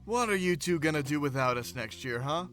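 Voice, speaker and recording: A man's voice: on the high side, expressive, a little fast, a bit bright, soft background music. A man playing a mischievous, laid-back male student in an audio drama, joking in casual slang. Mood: teasing.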